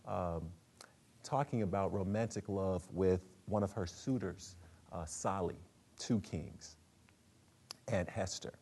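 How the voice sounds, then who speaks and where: A man giving a prepared literary talk that introduces dramatic readings, with measured, halting phrasing. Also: low voice, mellow. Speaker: speaker, literary presenter.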